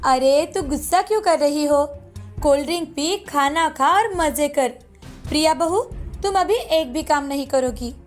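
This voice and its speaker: Woman acting a high-voiced, domineering cartoon mother-in-law. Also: a little slow, faint music behind. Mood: bossy. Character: haughty, scolding middle-aged mother-in-law. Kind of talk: cartoon voice acting in a moral story.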